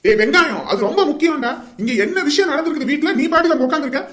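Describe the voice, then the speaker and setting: A woman's voice: medium pitch, racing, natural voice. A woman playing a bossy, hot-tempered male elder in a village family drama, blunt and commanding. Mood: angry scolding. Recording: roomy, echoing sound.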